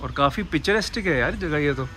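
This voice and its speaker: A man's voice: on the high side, animated, quick pace, a bit bright, slight background noise. A man in his forties filming a casual travel vlog at outdoor ruins, talking to a handheld camera and slipping English words into his Hindi. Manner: chatty, mixes English into his Hindi, says "yaar" often. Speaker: travel vlogger. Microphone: handheld camera at arm's length.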